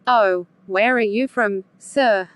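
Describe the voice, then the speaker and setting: A woman's voice: mid-range voice, slow, no room sound. Woman reading a cashier's lines, dry recording. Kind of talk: scripted dialogue example.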